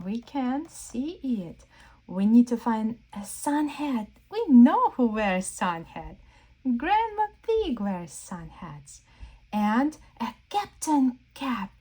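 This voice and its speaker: Children's storyteller: woman, mellow voice, very animated pitch, guiding small listeners through a picture book. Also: husky. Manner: exaggerated wonder, slow questions to young listeners. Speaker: children's read-aloud storyteller. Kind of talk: interactive read-aloud for young children.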